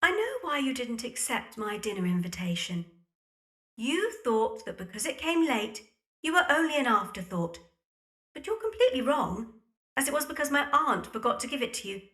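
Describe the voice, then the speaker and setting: A woman playing a playful, self-assured young heiress in a period audio drama, brisk and decided in manner. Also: fairly high pitch, big pitch swings, fairly bright tone. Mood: playfully chiding.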